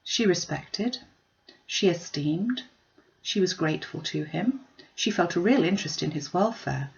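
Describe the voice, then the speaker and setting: A woman reading a classic novel aloud as scripted narration, in a measured, formal storytelling delivery. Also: lowish voice, expressive, creaky, highs cut off. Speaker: audiobook reader.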